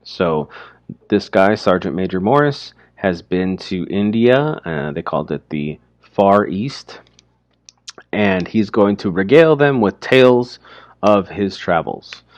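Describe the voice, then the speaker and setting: A man teaching through informal, explanatory commentary on a story, speaking haltingly as he thinks aloud. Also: low voice, lively intonation, no echo.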